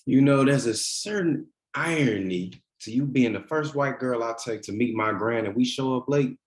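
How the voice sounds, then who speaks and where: A man's voice: mid-range voice, moderate pace, close to an everyday voice. A man reading a teasing, devoted boyfriend in a naturalistic drama table read, conversational and a little hesitant. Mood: teasing, affectionate. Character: teasing, devoted boyfriend, nervous about his grandmother. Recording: virtual meeting recording.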